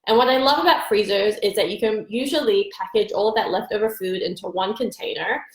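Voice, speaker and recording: A woman's voice: mid-range voice, a little fast, echoey room, rough recording. A woman with a congested, stuffy voice, giving chatty, upbeat meal prep advice as host of a live stream. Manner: upbeat, chatty, greets viewers by name. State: congested from allergies. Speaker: nutrition coach hosting a live stream. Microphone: phone or webcam for a live stream.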